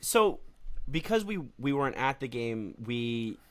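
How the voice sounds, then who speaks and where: A man co-hosting a casual sports podcast, telling stories in a relaxed way with many fillers. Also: mid-range voice, measured pace, clean tone. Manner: relaxed storytelling with frequent fillers. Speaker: podcast co-host.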